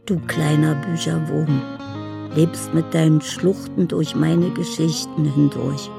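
An actress playing a dreamy, poetic woman in a radio drama monologue, musing in a gravelly voice over music. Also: low voice, level tone, slow pace, heavily acted. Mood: tender musing. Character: dreamy, poetic woman living in her imagination.

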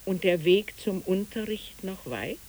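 Actress as slow, swooping, dreamy country woman. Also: expressive, darkish tone, close to an everyday voice, poor audio. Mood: musing, probing. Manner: slow, musing, swooping in pitch. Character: dreamy, unhurried country woman who knits the sea. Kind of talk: literary radio play acting. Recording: hissy old radio recording.

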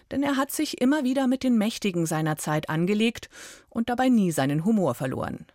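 Woman host reading the show intro, lowish voice, dry studio. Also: darkish tone, no room sound. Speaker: podcast host. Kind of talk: scripted radio intro.

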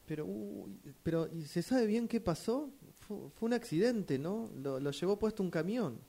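Un hombre con acento argentino que interpreta en un radioteatro a un amigo preocupado, informal y algo titubeante. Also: male voice, sits high, lively intonation, gravelly, dark tone, exaggerated voice. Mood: concerned, curious. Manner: informal, halting. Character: concerned male friend offering condolences and asking questions. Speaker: radio drama workshop participant. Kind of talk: rehearsed radio drama acting.

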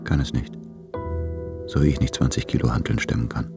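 An actor playing an anguished, self-tormenting letter-writer confessing to his lover in a literary radio drama, brooding and halting. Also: rumbling low, fairly even intonation, vocal fry, music in the background. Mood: anguished, resigned.

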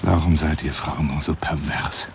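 An actor playing an eccentric, lovelorn and wounded lion hunter in a radio drama monologue, speaking on the telephone in a theatrical, florid, self-pitying manner. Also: male voice, extremely low pitch, little pitch movement, normal speed, vocal fry, noisy background. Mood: plaintive, resigned.

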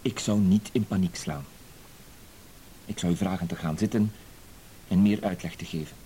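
An actor playing a formal, composed, aggrieved husband in a radio drama. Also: male voice, sits low, moderate pace, dark tone, lightly acted. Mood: puzzled, composed. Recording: hissy old radio play recording.

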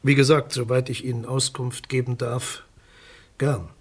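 An actor playing a formal, guarded public prosecutor in a German radio crime drama, courteous, bureaucratic and a little hesitant. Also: male voice, mid-range voice, little pitch movement, a little slow, slight background noise, natural voice. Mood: reserved.

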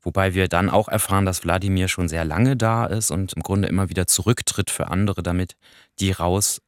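A man's voice: low-pitched, steady tone, a little fast, no room sound. A man talks through a review as a podcast co-host. He explains things conversationally, adding small qualifying asides, and the sound is close and dry with no room on it. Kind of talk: conversational radio play review.

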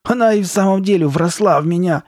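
A man's voice: high voice, animated, a little slow, darkish tone, no room sound, clean studio sound, voice pushed up. A man voicing a panicking, hot-tempered girl in a horror storybook, wailing and exclaiming desperately. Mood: distressed, frantic. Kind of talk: dramatised horror storybook reading.

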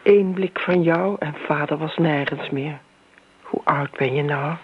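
Radio drama: imperious bitter niece, blunt and mocking, tinny lightly hissy recording. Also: female voice, deep voice, a little slow. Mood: accusing. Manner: blunt, sardonic, accusing. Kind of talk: radio play acting. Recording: tinny, narrow old radio recording, hiss.

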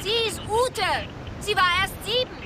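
An idealistic female researcher carrying grief, reflective and earnest in a radio drama. Her voice competes with very loud, crowded outdoor sound effects. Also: very high-pitched, expressive, unhurried, a crowd in the background, background noise. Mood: grieving, earnest. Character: grieving, idealistic female researcher on a remote island. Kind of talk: German radio drama acting. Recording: loud outdoor ambience over dialogue.

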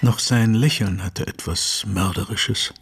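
An actor playing a courteous, troubled Victorian gentleman in a German radio play, grave and formal, with a husky voice. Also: lowish voice, exaggerated voice. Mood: brooding, troubled. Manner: measured, formal, brooding.

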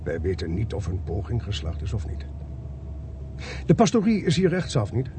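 An actor playing a measured, probing police investigator in a radio play, speaking with deliberate, formal politeness. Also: male voice, lowish voice, wildly swooping pitch, mellow, slight background noise.

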